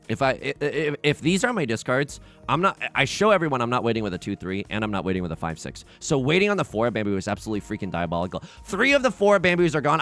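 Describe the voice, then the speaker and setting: Young man, excitable gameplay commentator; lively up-and-down delivery, jokey, trips over restarts, faint game music underneath. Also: mid-range voice. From China, raised in the US. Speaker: let's play host.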